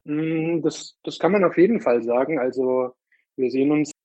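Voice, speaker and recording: A man from southern Germany answering interview questions informally, halting often as he searches for words. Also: on the high side, darkish tone, no echo. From Munich, Germany. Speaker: interview guest, newsletter co-founder. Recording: dry, slightly dull lo-fi sound.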